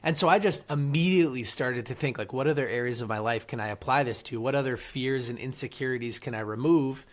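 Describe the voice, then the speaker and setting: A man's voice: mid-range voice, fast, hardly any pauses. A man speaking as a podcast guest, telling his story in an earnest, motivational way. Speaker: guest, author and entrepreneur. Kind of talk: casual interview storytelling.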